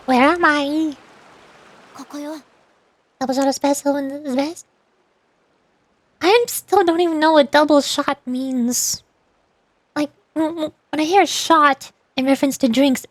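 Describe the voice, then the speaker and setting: A woman's voice: high-pitched, measured pace, long pauses, no echo. A woman streaming a game, chatting casually with viewers in a playful, rambling, teasing way. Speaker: livestreamer playing a game, reading dialogue aloud. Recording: music playing behind the voice. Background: music.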